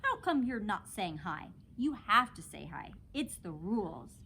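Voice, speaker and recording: A woman's voice: medium pitch, big pitch swings, unhurried, vocal fry, pushed and bright, slight background noise, heavily acted. A woman voicing a bossy, pouty little girl classmate in a storybook, speaking in an insistent, scolding playground tone.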